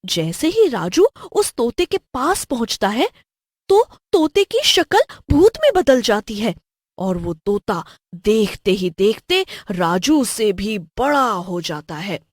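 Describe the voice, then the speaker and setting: A woman storyteller narrates a scripted horror tale in a fairly high voice. Her delivery is slow and lively in pitch, recorded dry and close. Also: dry recording.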